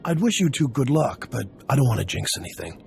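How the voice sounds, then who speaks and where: Gravelly, seasoned mission boss. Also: male voice, mid-range voice, sing-song, a little fast, a little mellow, soft background music. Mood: gruff encouragement. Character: seasoned male mission boss, gruffly fond of his crew. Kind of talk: video game voice acting.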